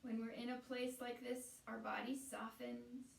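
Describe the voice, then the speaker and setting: A woman guiding a meditation as a teacher, speaking in a soothing, unhurried way with pauses between phrases. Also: a little high, level tone, creaky, slight background noise. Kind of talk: guided meditation. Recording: roomy echo, distant from the mic.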